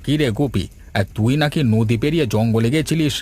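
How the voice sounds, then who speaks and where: Man as a medium-pitched village family man. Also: a little fast, a little mellow, natural voice. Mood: stern scolding. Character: easygoing, placating village husband and stern father. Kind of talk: cartoon voice acting.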